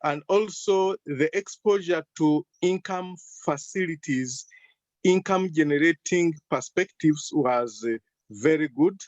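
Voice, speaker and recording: A man from Uganda speaking slowly as a panelist, joining a remote call from inside a car. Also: on the high side, darkish tone, no echo. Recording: dull, dry remote call audio.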